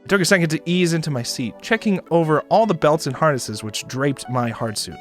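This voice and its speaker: A man reading a story aloud as a streamer, in expressive narration with casual asides. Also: on the high side, fairly quick, faint music behind. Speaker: streamer narrating a visual novel.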